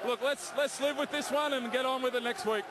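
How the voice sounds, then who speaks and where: A man's voice: extremely high pitch, fairly quick. A man in his thirties, a basketball player giving an upbeat, cocky post-game interview into a handheld mic in an indoor arena. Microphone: handheld microphone held by interviewer. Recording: loud arena crowd noise.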